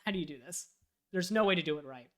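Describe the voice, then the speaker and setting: A man's voice: high voice, animated, quick pace. A man from the United States talking casually on a livestream, thinking aloud as he fills out a form. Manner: chatty, thinking aloud while filling out a form. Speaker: live streamer and web developer.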